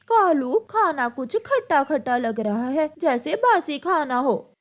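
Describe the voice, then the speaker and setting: A woman voicing a dramatic, scolding crow mother in a cartoon, shrill and exclaiming. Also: very animated, slow, full character voice. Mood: complaining.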